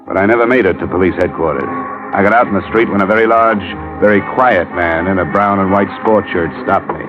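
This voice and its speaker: Male actor as hard-boiled American investigator, lowish voice, deadpan, sardonic, old radio drama, dulled recording. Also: a little fast, natural voice. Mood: wry, narrating. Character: wry, tough, world-weary male insurance investigator. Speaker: lead actor and narrator. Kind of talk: 1950s radio detective drama acting. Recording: muffled vintage radio recording with music.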